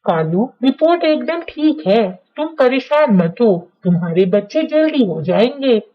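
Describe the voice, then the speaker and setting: A woman plays a calm owl doctor in a cartoon moral tale. The voice is high, measured and reassuring. The recording is slightly dull. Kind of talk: Hindi cartoon moral story dialogue. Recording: dull, lo-fi sound.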